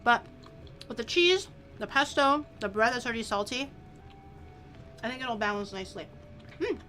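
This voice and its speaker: A woman whose first language is Mandarin chats away on a casual cooking stream, playful and quick to giggle. Her voice sits very high. Music plays clearly behind her. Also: sharp tone. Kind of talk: casual cooking livestream chat. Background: background music.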